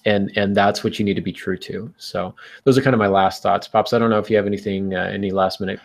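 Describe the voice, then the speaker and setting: A man's voice: on the low side, fairly even intonation, fast, creaky. A man co-hosting a podcast, giving advice in an informal, emphatic way and often interrupting himself. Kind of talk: conversational advice talk.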